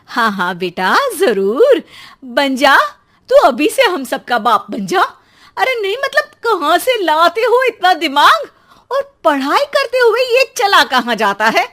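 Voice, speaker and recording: A woman playing an exasperated, quick-tempered mother who scolds with sarcasm in a cartoon story. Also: extremely high pitch, big pitch swings, fairly bright tone, pitched above the performer's own voice, exaggerated voice. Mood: sarcastic anger. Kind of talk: Hindi cartoon story dialogue.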